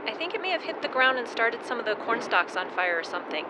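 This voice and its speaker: An actress plays an excited female reporter calling in from the roadside. Her high voice moves up and down in animated swings, with clear outdoor vehicle noise around the call, in radio-play style. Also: fast talker. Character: excited woman radio journalist phoning in from the roadside. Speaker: on-scene radio caller. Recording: noisy outdoor phone call with vehicle ambience.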